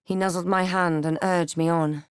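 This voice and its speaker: A woman playing a wry, watchful fantasy adventurer hero in a video game, with a measured, dramatic delivery. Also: fairly low pitch, fairly even intonation, clean tone, no room sound, clean studio sound, lightly acted. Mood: measured, grave narration. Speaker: player character in a fantasy role-playing game. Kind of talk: video game voice lines and narration.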